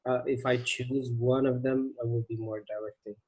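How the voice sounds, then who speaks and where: A young man from Sweden introducing himself as a prospective film student on a video call, polite and halting. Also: medium pitch, little pitch movement, darkish tone, poor audio.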